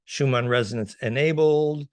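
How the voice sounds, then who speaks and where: A man's voice: mid-range voice, moderate pace, no room sound. A man speaking as a guest lecturer in a discussion, expounding in dense technical jargon.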